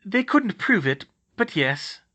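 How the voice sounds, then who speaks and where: Young female storybook witch, earnest and urgent, raised pitch, sharp tone male narrator performing. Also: big pitch swings, slow pace, exaggerated voice. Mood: somber concern.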